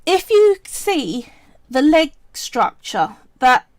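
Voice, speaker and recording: A woman's voice: sits high, big pitch swings, dry recording. A woman casually talking viewers through a polymer clay sculpting project on a live stream, chatty and explanatory. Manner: chatty and explanatory, often pausing to say "right" and "so".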